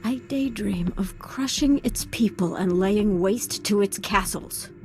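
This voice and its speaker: An actress plays an intense young female Sith apprentice in a video game. She speaks deliberately at a medium pitch, with dark, breathy relish over faint music. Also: natural voice. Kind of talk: scripted video game dialogue acting.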